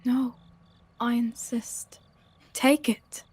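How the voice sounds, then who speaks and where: A woman plays a grateful, timid beggar in a radio drama. The voice is fairly high and husky. The words come haltingly, with stammers and insistence. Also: steady tone, darkish tone, full character voice. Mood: grateful, insistent. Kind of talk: radio theatre acting.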